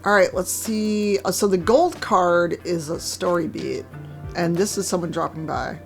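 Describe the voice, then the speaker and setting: A woman streaming a game, chatting casually with a hoarse, croaky voice and a wry, chatty manner. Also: lowish voice, measured pace, soft background music. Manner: chatty, wry, reads chat aloud. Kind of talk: casual live-stream chat while gaming.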